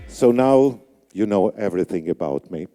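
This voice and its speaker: An older man, raised on Yiddish, speaks slowly into a microphone on a conference stage, picking his words with small pauses. His voice is low and very dark, and it rings out in a large reverberant hall. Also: expressive, faint music behind. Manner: deliberate, halting with fillers. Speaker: radio announcer hosting a conference. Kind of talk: emcee remarks on stage.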